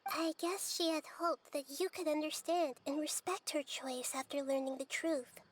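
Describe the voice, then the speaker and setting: A woman's voice: high voice, fairly even intonation, normal speed, close to an everyday voice. An actress playing a small, caring, childlike girl in a video game, speaking with careful earnestness. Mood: gentle, consoling. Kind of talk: English video game voice acting. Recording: music clearly behind the voice. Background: background music.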